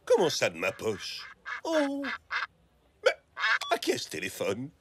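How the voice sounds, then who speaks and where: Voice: mid-range voice, huge pitch swings, measured pace, noisy background. A performer voicing a fussy, easily flustered grown male zebra in a French children's cartoon with music behind. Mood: flustered, dramatic.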